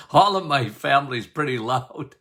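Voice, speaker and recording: An older man hosting a health podcast as a doctor, talking loudly and passionately, with frequent laughs. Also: mid-range voice. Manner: loud, emphatic, passionate, laughs often. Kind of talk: casual health podcast talk.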